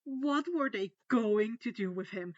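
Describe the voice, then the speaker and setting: A woman reading a story aloud as a dramatic narrator, expressive and stretching words for effect. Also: on the high side, unhurried. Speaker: fan fiction narrator. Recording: dull, echoing home recording.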